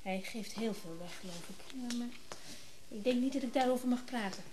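A woman's voice: a little high, a little fast, noisy background, lightly acted. A woman playing a chatty, candid rich wife with humble roots, often hesitating, in a naturalistic radio drama. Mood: breezy, welcoming. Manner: chatty, hesitant, breaking off mid-thought.

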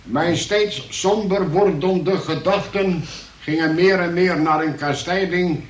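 An actor playing a gloomy, resentful son who narrates a radio drama in a wry, hesitant confessional way. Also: male voice, high-pitched, slow, roomy sound, recorded from a distance, rough recording. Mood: gloomy, sardonic. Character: gloomy, resentful son tied to his invalid mother, narrating. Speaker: narrator and lead character.

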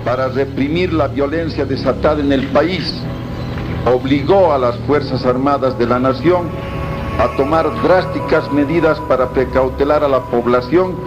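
An actor playing a stern military junta announcer, heard as a radio broadcast, reading in a formal, official way. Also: male voice, medium pitch, moderate pace, dull audio, over music.

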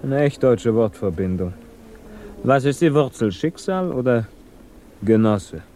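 Male actor for a wary intellectual refugee, dark low tone, dry irony, vintage radio drama with faint noise. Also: expressive, unhurried, lightly acted. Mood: guarded, dry. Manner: guarded, dry. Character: wary, reserved German émigré scientist, dryly ironic. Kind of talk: 1950s German radio drama acting.

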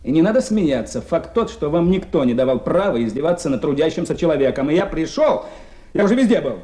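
A pompous, aggrieved working man with a high, very animated voice. He stammers and pads his complaints with officious phrases, querulous throughout, in a 1970s radio drama with a roomy echo. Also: fast. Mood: indignant.

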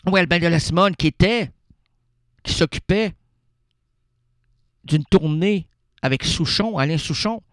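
A man's voice: on the high side, unhurried, pauses often, fairly bright tone, no room sound, studio quality. A man with a Québécois French accent hosting a radio show, rambling through a playful, absurd monologue and answering his own questions. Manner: playful, rambling, talks to himself. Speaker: radio host.

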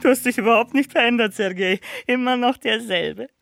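Casting: thin, bibulous aristocrat for radio drama, effusive and stammery, faint music behind. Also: male voice, very high-pitched, fairly quick, vocal fry. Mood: delighted, gushing. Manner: gushing, repetitive, hesitant stammering starts. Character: effusive, hard-drinking, bored count, small and thin.

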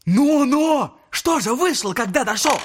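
An actor playing a feverish young intellectual, tormented, mocking and agitated, in an audio drama. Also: male voice, very high voice, animated, unhurried, background noise, heavily acted. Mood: derisive, amused. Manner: agitated, exclamatory, derisive.

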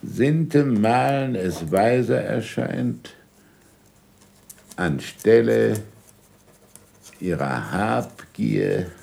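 An actor playing an older, sardonic and imperious scholar in a German radio drama, dictating deliberately with irony and curt commands. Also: male voice, fairly low pitch, animated, creaky, warm tone, full character voice. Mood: dictating, ponderous.

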